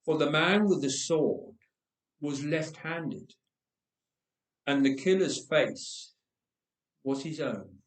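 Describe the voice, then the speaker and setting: Male storyteller, unhurried pace, dramatic emphasis, measured pauses, echoey church recording. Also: fairly high pitch, lively intonation. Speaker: storyteller, reader. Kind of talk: dramatic scripted story narration. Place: roomy church interior. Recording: echoey church, distant microphone.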